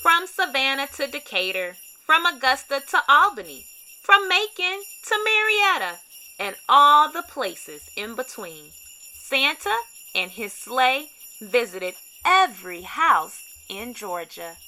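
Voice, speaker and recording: Bright, high-voiced woman reading a storybook. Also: takes it slowly, slight background noise, rough recording. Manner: expressive, dramatic emphasis. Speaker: children's storybook reader. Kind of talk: read-aloud scripted narration for kids.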